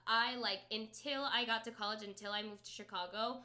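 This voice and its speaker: A woman's voice: fairly high pitch, steady tone, fairly quick, bright, forceful tone. A woman from Florida, a podcast co-host, chatting casually in a group and laughing often. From Florida, United States.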